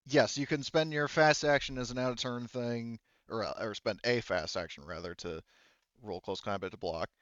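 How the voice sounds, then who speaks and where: A man acting as game master in a casual tabletop session, haltingly thinking aloud as he checks rules and sets up the action, with some casual swearing. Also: medium pitch, a bit bright, no room sound, highs cut off.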